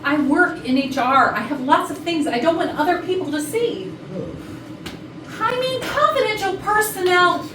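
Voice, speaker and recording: A woman's voice: extremely high pitch, expressive, normal speed. A woman playing a sarcastic, defensive HR manager suspected of murder in a staged comedy reading. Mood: indignant, defensive. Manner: sardonic, indignant. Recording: echoey, distant, noisy rehearsal recording.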